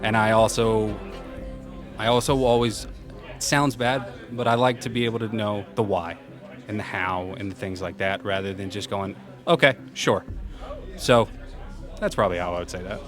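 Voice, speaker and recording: Guy with lowish loud voice giving casual upbeat interview answers, music going in the background. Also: normal speed. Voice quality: loud, carrying voice. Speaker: interview guest, senior program manager. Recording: music playing behind the voice.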